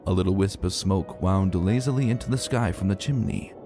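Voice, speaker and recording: A man narrating a dramatic story in an expressive, emphatic and colloquial way. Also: low voice, fairly quick. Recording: soft music behind narration.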